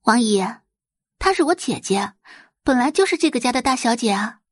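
A woman voicing a young, well-bred heiress in an audio drama, speaking gently and considerately with a hidden scorn. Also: fairly high pitch, lively intonation, normal speed, dry recording, clean studio sound, voice pushed up. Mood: sweet, gentle. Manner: soft, gentle, considerate phrasing. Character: gentle-seeming young heiress, sweetly hypocritical and secretly scornful.

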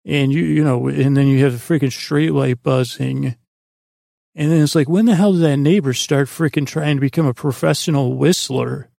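Man, mid-pitched, extremely creaky, meandering sleep podcast monologue, halting, self-deprecating, tangent-prone. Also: dark tone, no echo, clean studio sound. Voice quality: creaky, gravelly. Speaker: podcast host.

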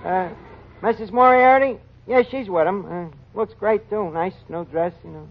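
Slangy, high-pitched New tavern manager. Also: male voice, moderate pace. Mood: offhand. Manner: slangy, ungrammatical, mock-important. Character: scheming, malapropism-prone tavern manager, streetwise blusterer. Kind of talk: 1940s radio comedy acting. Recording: dull old 1940s radio recording.

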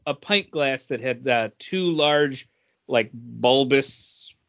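A man's voice: medium pitch, slow, fairly bright tone, lo-fi sound. A man co-hosting a podcast in casual, conversational banter. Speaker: podcast co-host.

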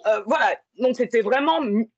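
A woman's voice: fairly high pitch, moderate pace. A woman from France in casual, reflective conversation, hesitating and circling back to explain herself. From born and grew up in France. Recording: slightly dull lo-fi sound.

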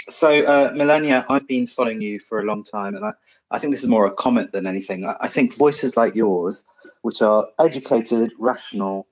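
A man's voice: on the low side, slightly rough. A man calling in to an online audio chat, stammering a little as he speaks. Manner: warm, stammering, appreciative. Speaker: caller.

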